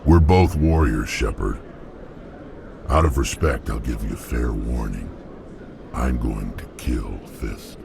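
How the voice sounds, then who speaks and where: An actor voicing a hulking alien mercenary warrior in a video game, blunt and threatening, with a deep gravelly growl. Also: male voice, takes it slowly, background noise, heavily acted. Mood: menacing. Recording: game audio with loud score behind.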